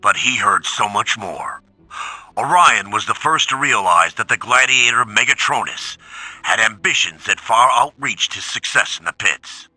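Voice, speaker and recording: An earnest robot soldier worn down by war, reflecting for a video game audio log. The tone is raspy and very sharp, close and dry, with faint music behind. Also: male voice, fairly low pitch, expressive, measured pace, no echo, exaggerated voice, rough recording. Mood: solemn, earnest. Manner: solemn, reflective. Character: weary robot war veteran recounting history, earnest. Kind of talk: dramatic scripted audio log narration.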